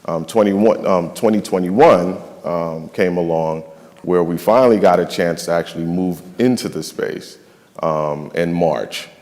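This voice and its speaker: A man's voice: low voice, a little slow, darkish tone, in a large hall. A young man from the Bahamas, a nonprofit finance and facilities staffer, speaking on a summit panel with many ums and restarts. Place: large event hall.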